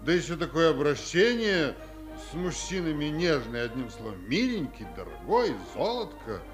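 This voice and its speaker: Fussy army doctor, high lively voice. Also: male voice, moderate pace, over music. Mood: fussy advising. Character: fussy, exasperated male army doctor, officious but fatherly. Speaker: actor in a radio play. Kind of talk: 1950s Soviet radio theatre acting.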